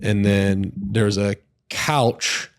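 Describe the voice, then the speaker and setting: A young man in a podcast chat, telling a long story in a rambling, animated way with lots of filler words. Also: lowish voice, very slow, vocal fry, no echo, studio quality. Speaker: podcast guest. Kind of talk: casual storytelling.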